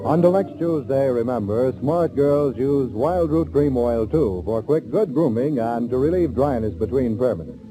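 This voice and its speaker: A man delivering a scripted product pitch as a commercial announcer in 1940s radio style. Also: moderate pace, long pauses, mellow, slightly rough. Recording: muffled 1940s radio recording, faint music.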